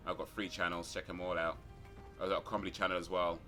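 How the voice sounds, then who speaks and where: A man's voice: sits low, little pitch movement, fast talker. A man hosting a casual livestream, chatting with viewers and a fellow player. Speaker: game streamer and host. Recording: loud music behind. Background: music.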